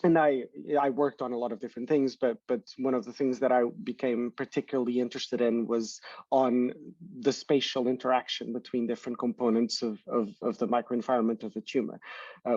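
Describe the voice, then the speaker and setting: A man's voice: medium pitch, darkish tone, no echo. A man in his thirties from Portugal, a startup founder explaining his research as a guest, with many restarts and repeated words. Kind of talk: interview answers. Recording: dull distant call audio.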